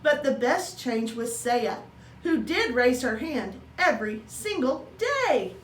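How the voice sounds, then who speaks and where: A woman's voice: fairly high pitch, very animated, slow pace. A woman reading a children's story aloud as a teacher would, expressively and with acted-out dialogue. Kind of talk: animated children's storybook narration. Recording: roomy, distant mic, faint background noise.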